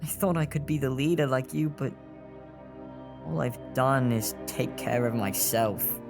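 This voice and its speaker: A man's voice: medium pitch, fairly even intonation, measured pace, creaky. A man playing an earnest, guilt-ridden young street boy who leads reluctantly in an audio drama. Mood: guilty. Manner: earnest, troubled. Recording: music under the voice.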